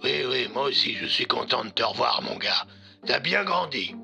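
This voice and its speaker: Man playing a gravelly old police commissioner, sharp-edged. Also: mid-range voice, lively intonation, normal speed, soft background music, full character voice. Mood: fond. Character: gruff, weary old male police commissioner.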